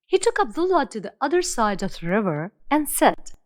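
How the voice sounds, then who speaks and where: A woman narrating an animated fairy tale in an expressive, storybook way. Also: fairly high pitch, fairly quick, no echo, studio quality.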